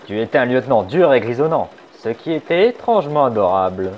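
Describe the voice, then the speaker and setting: A sly young male fox, wry and teasing but quietly confiding, played by a man in a fan dub. His voice sits at an ordinary pitch for a man. The recording is a little muffled, with a faint hiss underneath. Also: very animated, fairly quick, lightly acted.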